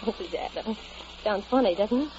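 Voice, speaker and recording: Actress as a tender young American wife, noisy old radio. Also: female voice, medium pitch, normal speed, darkish tone, dull audio, natural voice. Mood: wistful. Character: tender, wistful young wife, sentimental and fond of children. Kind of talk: 1940s radio drama acting.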